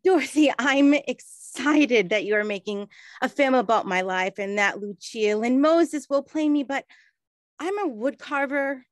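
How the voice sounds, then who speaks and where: An earnest, proper 1920s woman played by a female actor, with a voice a little on the high side. The sound is dry, and she explains things carefully in audio drama style. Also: expressive, unhurried, no echo. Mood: modest, amused.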